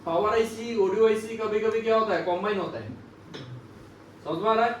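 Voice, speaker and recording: A high-pitched man gives a practical classroom lesson, recorded from a distance in an echoey room. He explains emphatically, repeats himself and keeps throwing questions to the learners. There is faint noise behind him. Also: a little fast. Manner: repetitive, emphatic teaching with frequent rhetorical questions. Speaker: phone repair instructor. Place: indoor classroom.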